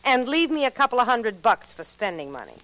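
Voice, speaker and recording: A woman's voice: a little high, very animated, brisk, low-quality recording. A woman acting a brassy, insolent nightclub singer and blackmailer with sassy, slangy delivery in a 1940s radio drama. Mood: taunting. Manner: sharp, sassy, slangy wisecracking.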